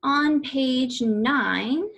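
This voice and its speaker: A woman reading aloud an educational book for children in a deliberate, instructional way. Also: high-pitched, vocal fry, fairly bright tone. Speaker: read-aloud reader for children.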